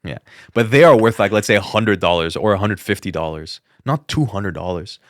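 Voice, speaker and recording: Young male podcast co-host, rapid low-pitched delivery, blunt sweary banter, close dry sound. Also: expressive, no room sound. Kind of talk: casual bantering debate.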